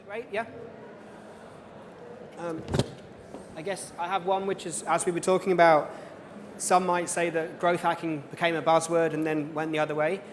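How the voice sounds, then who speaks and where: A man with a high voice moderates an audience Q&A in an easygoing, casual manner. His voice rings with long reverb from the hall. Faint noise sits behind him. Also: little pitch movement, moderate pace, long pauses. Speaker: event moderator.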